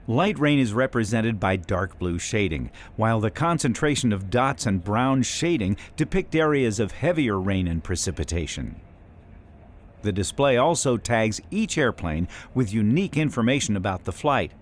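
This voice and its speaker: A man reading scripted instructional narration as a training video instructor, in a measured, explanatory way. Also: on the low side, lively intonation, a little hiss or hum.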